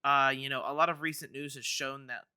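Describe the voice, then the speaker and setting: Male podcast host, casual and rambling with stammered restarts, very forward bright tone, clean articulation. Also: medium pitch, steady tone, a little fast, clean tone, no room sound, studio quality. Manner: rambling, stammering with repeated false starts. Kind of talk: casual gaming news discussion.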